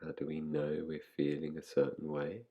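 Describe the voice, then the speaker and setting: A man guiding a relaxation meditation in a calm, unhurried, soothing way, leaving long pauses between phrases. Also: extremely low pitch, dull audio. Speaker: meditation guide. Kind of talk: guided relaxation, yoga nidra meditation.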